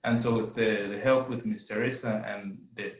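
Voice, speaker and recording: A man's voice: lowish voice, fairly even intonation. Earnest Zambian man, echoey call. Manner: earnest, measured, with hesitant fillers. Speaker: adult education graduate giving testimony. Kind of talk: personal testimony on a video call.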